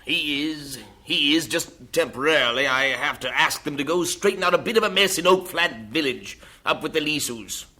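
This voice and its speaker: An actor playing a worried, businesslike mission superintendent in an old radio drama. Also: male voice, a little high, moderate pace, few pauses, bright, forceful tone. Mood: brisk, worried.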